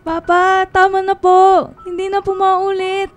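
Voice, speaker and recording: A protective teenage daughter in a radio drama. She speaks in a high, plaintive, pleading voice with faint music behind her. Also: monotone, measured pace. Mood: desperate pleading.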